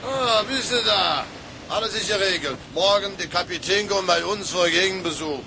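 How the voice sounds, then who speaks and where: A brisk German seafarer in a drama, giving orders. His voice is high, and clear ambient noise sits behind him. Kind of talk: drama dialogue.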